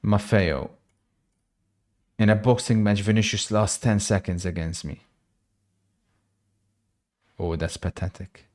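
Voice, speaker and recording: A man's voice: fairly low pitch, takes it slowly, long pauses, darkish tone. Chatty man commentating a football watch-along. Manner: chatty, reacts to the match and reads out viewer messages. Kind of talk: casual live match commentary and chat.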